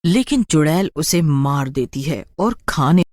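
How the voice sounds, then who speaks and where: A woman's voice: deep voice, expressive, a little slow, a little mellow, no room sound, studio quality. A woman narrating a scripted story in a dramatic storytelling style.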